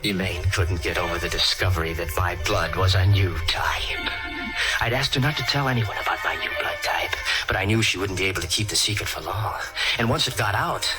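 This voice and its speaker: An actor playing an obsessive, scheming murderer who narrates his own crime in a brooding, deliberate 1940s radio thriller style. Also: sits low, lightly acted, poor audio. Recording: old radio recording with loud music.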